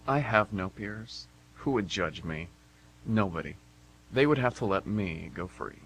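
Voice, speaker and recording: Guy doing a cocky slacker dude, lowish voice, flippant, radio play, bit of hum. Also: lively intonation, a little slow, a bit bright, poor audio. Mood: smug. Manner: flippant, sardonic. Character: cocky, sardonic young unemployed slacker. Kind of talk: amateur radio play acting. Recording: amateur radio, faint background hum.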